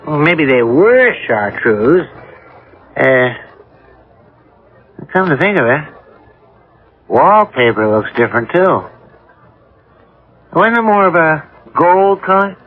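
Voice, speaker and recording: An actor playing an easygoing, dismissive middle-aged American husband in a radio drama, offhand and conversational. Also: male voice, a little high, big pitch swings, slow pace, long pauses, exaggerated voice. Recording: phone-like old radio broadcast.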